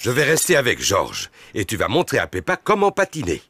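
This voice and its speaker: A man's voice: lowish voice, huge pitch swings, a little fast, fairly bright tone, soft background music, heavily acted. A man voicing a jovial, overconfident father pig in a preschool cartoon dub, reassuring and playful.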